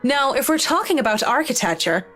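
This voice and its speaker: Visual novel role: confident, wry history-loving woman, slightly high voice, playful banter, soft music behind. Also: big pitch swings, fairly quick, heavily acted. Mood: competitive, teasing. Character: confident, teasing Scottish woman interior designer who loves history.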